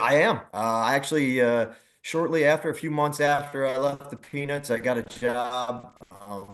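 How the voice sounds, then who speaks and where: A middle-aged man chatting casually as a guest, with an easygoing, halting way of talking. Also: mid-range voice, a bit bright. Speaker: guest, athletic director and former team general manager. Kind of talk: casual chat.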